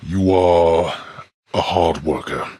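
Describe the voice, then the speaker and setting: Very deep, husky underworld king. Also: fairly even intonation, slow pace, warm tone, no room sound, full character voice. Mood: stern approval. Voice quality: gravelly, husky bass. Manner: haughty, curt, commanding, weighty formal diction. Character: stern, imperious god-king of the underworld, gruff old father. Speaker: ruler of the underworld. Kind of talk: formal, archaic video game character acting.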